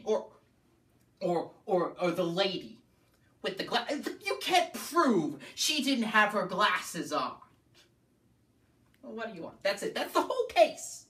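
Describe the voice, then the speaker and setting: A man's voice: high-pitched, pushed and bright, exaggerated voice. A man playing a hot-tempered, anguished juror in a combative, agitated stage monologue. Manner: combative, agitated, stammering outbursts. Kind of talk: theatrical dramatic monologue. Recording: echoey room, distant mic.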